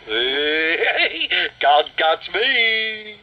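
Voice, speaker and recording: A playful, giggly dragon from a children's tale, as a man acts him out. He speaks informally and cheekily in a raised, very lively voice. The audio is a little dull. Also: fairly high pitch, a little slow, breathy edge, exaggerated voice. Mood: playful taunting. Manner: exuberant, chuckling, informal. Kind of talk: children's story character voice.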